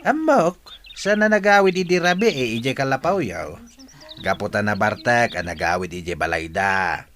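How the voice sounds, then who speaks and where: A man's voice: medium pitch, lively intonation, normal speed, a bit bright, slight background noise, natural voice. A man with an Ilocano accent playing blunt village men in a radio comedy drama, emphatic and colloquial. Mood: concerned, gossiping.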